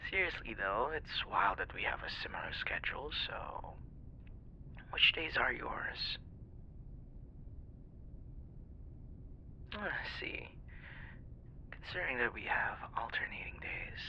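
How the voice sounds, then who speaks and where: A man performs a friendly young love interest in an ASMR roleplay, with a very bright, crackly-edged voice. He talks hesitantly and playfully, dropping into soft breathy asides, and his pitch moves around a lot. The recording is slightly dull. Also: heavily acted. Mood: warm, intrigued. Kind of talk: ASMR boyfriend roleplay, one-sided conversational acting.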